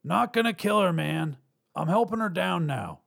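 A man's voice: sits high, big pitch swings, slow, vocal fry, husky, pitched above the performer's own voice, heavily acted. A man voicing a nervous, unsure male bank robber in an audio story, blurting in hesitant slang. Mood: defensive shouting.

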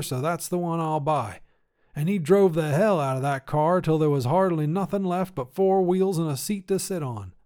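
A man's voice: high-pitched, darkish tone, clean tone, voice pushed up, natural voice. A man voicing a cantankerous old grandfather, a folksy tinkerer who grumbles emphatically in a story reading. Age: older. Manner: folksy, grumbling, emphatic, rural turns of phrase. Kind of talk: acted character dialogue in short fiction reading.

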